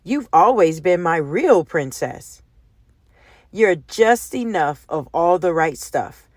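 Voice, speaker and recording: A woman reader plays a doting storybook father. He is gentle and reassuring, speaking slowly with expressive intonation. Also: fairly low pitch, no echo, clean studio sound, voice pushed down, full character voice. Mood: tender. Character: tender, doting father of a young girl.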